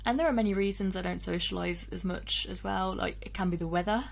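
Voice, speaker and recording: A young woman talks casually to her camera, pausing on ums and doubling back on her words. Her voice sits at a middling pitch, and her talk is relaxed and personal. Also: vocal fry. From England, UK. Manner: chatty, frequent ums and restarts, laughs between thoughts. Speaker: vlogger.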